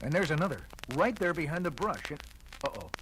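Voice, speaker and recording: An actor playing an earnest, peaceable astronaut seeking refuge in a 1970s audio adventure, speaking directly. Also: male voice, fairly high pitch, expressive, brisk, close to an everyday voice. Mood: uneasy. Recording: noisy, clicking old vinyl record.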